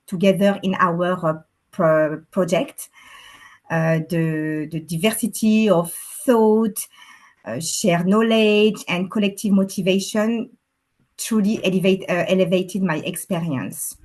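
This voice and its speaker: A woman with a French accent and French as her first language, giving an earnest testimonial over a video call as an alumna panelist, pausing often with fillers. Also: mid-range voice. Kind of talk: webinar testimonial.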